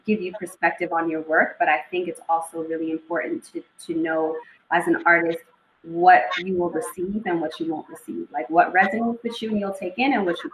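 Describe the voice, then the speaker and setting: A young woman with a lowish voice speaks as an author guest in a relaxed interview. She talks in a reflective, unhurried way, pausing often to find her words. Faint background noise sits under her voice. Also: reverberant, poor audio. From Eritrea and northern Ethiopia (family). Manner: thoughtful, unhurried, many "um" pauses. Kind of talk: casual interview conversation.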